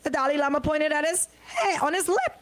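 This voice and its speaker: A woman's voice: sits high, big pitch swings, brisk. A woman with a Bahamian accent hosting radio banter, indignant and emphatic, repeating herself for effect. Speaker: radio host. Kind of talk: casual on-air banter and commentary.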